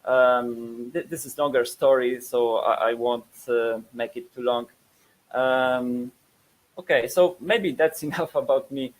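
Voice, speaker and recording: Polish man, 30s, webinar presenter, hesitant, mid-pitched voice. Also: fairly even intonation, takes it slowly, low-quality recording. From Poland. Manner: hesitant, many fillers and repeated words.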